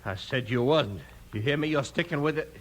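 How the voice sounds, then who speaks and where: An actor playing a slick, bullying cowboy outlaw leader in 1950s radio western style, full of folksy slang. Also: male voice, on the high side, fairly quick, close to an everyday voice. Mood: menacing. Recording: noisy old 1950s radio recording.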